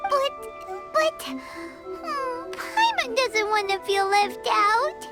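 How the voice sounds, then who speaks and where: Squeaky, excitable tiny companion. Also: female voice, extremely slow, loud music behind the voice, full character voice. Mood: pouty, indignant. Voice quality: squeaky, childlike. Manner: refers to self in third person, excitable. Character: tiny childlike female companion, chirpy and outspoken. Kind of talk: video game voice acting.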